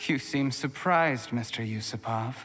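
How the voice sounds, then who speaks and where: A woman playing a cold, ambitious, scheming usurper in a video game, speaking with calm, gloating, deliberate menace. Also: rumbling low. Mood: bitter defiance. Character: cold, scheming, ambitious female usurper who poisons rivals. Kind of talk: dramatic video game voice acting. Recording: long hall reverb, music underneath. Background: game music.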